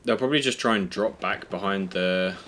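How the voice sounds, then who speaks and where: A man's voice: deep voice, brisk, fairly bright tone, a little hiss or hum. A man commentating a competitive game in a chatty, sweary way with dry jokes. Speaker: esports commentator. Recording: game gunfire and clicks behind. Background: gunfire and clicks from the game.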